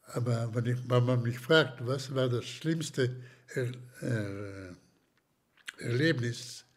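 An older man from Slovakia, giving slow, hesitant testimony in an interview with many pauses and restarts. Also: medium pitch. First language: German as childhood language, long unused.

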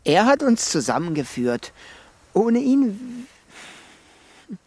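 An actor playing an impulsive, flustered and lovestruck would-be sailor in a children's radio play. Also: male voice, high-pitched, very animated, slow, darkish tone, a little hiss or hum, exaggerated voice. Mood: earnest. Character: excitable, lovestruck would-be sailor man, Mr. Cuckoo.

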